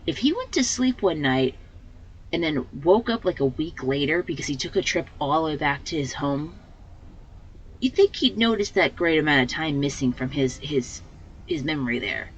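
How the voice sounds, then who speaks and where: A woman with a slightly hoarse voice hosting a let's play, giving casual commentary and thinking aloud as she plays. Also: low voice, animated, normal speed, lo-fi sound. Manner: rambling, thinking aloud with fillers.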